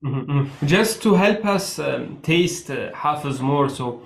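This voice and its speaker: A man hosting an interview, speaking in a measured, hesitant way with frequent fillers. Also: fairly high pitch, animated, creaky, reverberant. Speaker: interview host. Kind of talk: interview questions.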